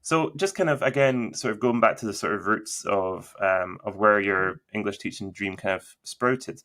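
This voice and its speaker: A man interviewing a guest in a relaxed chat, asking long, meandering questions full of fillers and restarts. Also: lowish voice, normal speed. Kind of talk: casual interview conversation.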